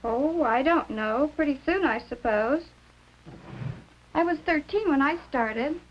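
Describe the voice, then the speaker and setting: A woman's voice: high-pitched, lively intonation, a little slow. A woman playing an exasperated, matter-of-fact teenage American big sister in a 1950s educational film. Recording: dull, faintly noisy 1950s film soundtrack.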